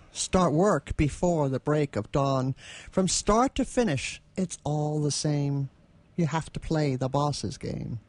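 Higher-pitched man, dry recording. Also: dry recording. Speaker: radio show co-host. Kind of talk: conversational responses and poetry recitation.

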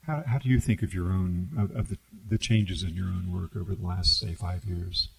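A man interviewing a poet, asking careful, measured questions and encouraging him with frequent murmurs of agreement. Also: low-pitched, fairly even intonation, gravelly, husky.

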